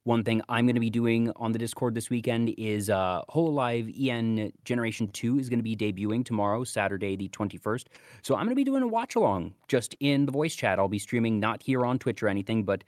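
A man's voice: mid-range voice, lively intonation, a little fast, darkish tone, no room sound, studio quality. A man co-hosting a podcast, talking casually about fandom in long, detailed explanatory asides. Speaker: podcast co-host.

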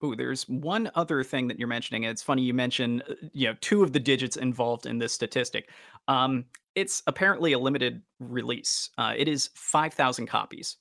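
A man's voice: mid-range voice, a little fast, creaky, fairly bright tone, dry recording, clean studio sound. A man co-hosting a casual podcast, chatting like an enthusiastic fan. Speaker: co-host.

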